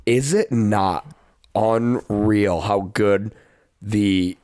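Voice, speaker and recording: A man co-hosting a casual sports podcast, talking in a relaxed, loose and rambling way. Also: on the low side, drawn-out words, no echo, studio quality. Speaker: podcast co-host.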